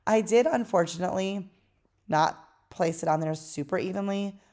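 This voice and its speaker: A woman hosting a craft channel, chatting casually through a voice-over tutorial in a tired, hoarse voice. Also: fairly low pitch, normal speed. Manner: chatty and relaxed, with fillers.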